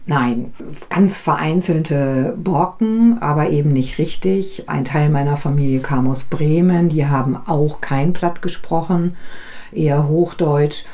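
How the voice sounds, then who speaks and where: A woman from northern Germany co-hosting a language podcast, telling stories from home calmly with small restarts. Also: low-pitched, unhurried. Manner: calm, reflective storytelling with small restarts. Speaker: podcast co-host, business German teacher. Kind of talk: conversational podcast chat.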